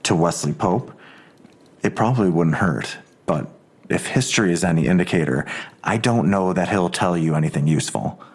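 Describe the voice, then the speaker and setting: An actor playing a terse, skeptical police detective in an audio drama, with a dry, deliberate, understated way of talking. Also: male voice, deep voice, expressive, a little mellow, slightly rough, heavily acted. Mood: measured, wary. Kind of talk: full-cast audio drama dialogue.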